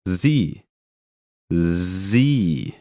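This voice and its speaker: A deep-voiced man gives slow, deliberate pronunciation drills and repeats each word twice. His delivery is very unhurried, and the recording is dry and close. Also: big pitch swings, warm tone, no room sound. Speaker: German pronunciation speaker.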